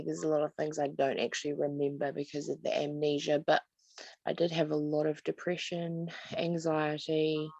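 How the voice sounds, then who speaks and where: Low gravelly New Zealand woman. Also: no echo. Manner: soft-spoken, hesitant with many pauses. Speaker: guest. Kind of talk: slow personal recollection. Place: home room, indoors. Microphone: video call. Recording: dull lo-fi call audio.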